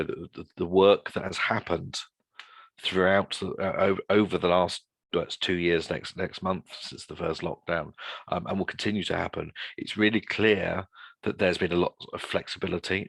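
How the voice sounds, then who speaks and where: A man's voice: low-pitched, gravelly, a bit bright, husky, no echo. A man giving an informal webinar presentation as a guest speaker, talking in a discursive way and often repeating his phrases.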